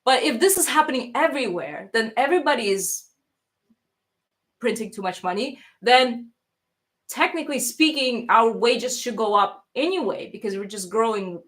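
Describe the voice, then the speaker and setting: A woman's voice: a little high, lively intonation, moderate pace, a bit bright. A woman who grew up in Toronto talks in a mixed accent with a faint Russian trace. She chats casually on a livestream and rambles, with plenty of "like" and "um" and sentences that restart midway. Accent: mixed Toronto accent with a slight Russian trace. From Russia, raised in Toronto, Canada. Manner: rambling, chatty, with many fillers and restarts. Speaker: livestream host thanking donors.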